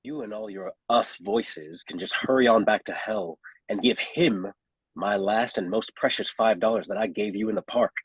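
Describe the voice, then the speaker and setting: Man acting a husky, exasperated jobless guy. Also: fairly low pitch, normal speed, no echo, rough recording. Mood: fed up. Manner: exasperated, indignant. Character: irritated, sarcastic unemployed man pestered by stranger. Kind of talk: table read of a horror script.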